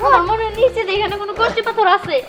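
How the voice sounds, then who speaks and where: A woman acting an excitable young treasure hunter. The voice is piercingly high and quick, in amateur drama dialogue. Loud music plays behind it. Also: far from the mic. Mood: excited. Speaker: actor in amateur short film.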